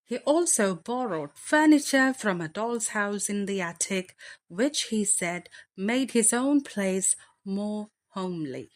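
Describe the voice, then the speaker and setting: A woman reading a children's bedtime story aloud with deliberate, expressive phrasing. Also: mid-range voice.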